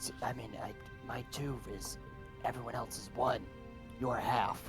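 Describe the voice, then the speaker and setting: Deadpan man roleplaying at a game table. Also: medium pitch, a little slow, music in the background. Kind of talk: in-character improvised roleplay.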